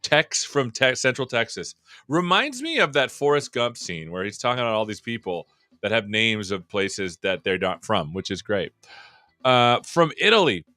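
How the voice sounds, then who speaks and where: A man's voice: mid-range voice, big pitch swings, moderate pace, bright, forceful tone, no echo, clean studio sound. A man hosting a live tech show, chatting casually and joking as he welcomes viewers. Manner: jokey, rambling and upbeat. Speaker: show host. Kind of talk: casual live-stream chat.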